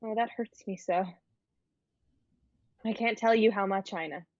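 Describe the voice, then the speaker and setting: A woman playing a naive, ailing fourteen-year-old girl, earnest and halting, in a dramatic reading of an old play. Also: medium pitch, darkish tone, dry recording, lo-fi sound, lightly acted. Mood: wistful.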